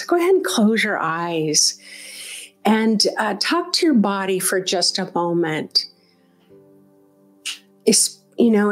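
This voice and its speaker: A woman leading a guided meditation as a teacher, speaking off the cuff in a gentle, encouraging, conversational way. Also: fairly low pitch.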